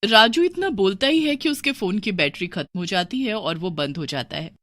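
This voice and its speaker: A woman's voice: mid-range voice, expressive, moderate pace, a bit bright, no echo, studio quality. A woman narrating a scripted horror story for a cartoon, in a storyteller's style.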